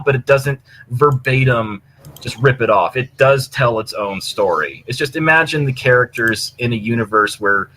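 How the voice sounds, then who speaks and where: A man's voice: mid-range voice, pushed and bright, a little hiss or hum, rough recording. A man chatting casually and enthusiastically in a rambling way as a podcast co-host, swearing freely as he recommends stories. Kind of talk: casual chat recommending fan fiction.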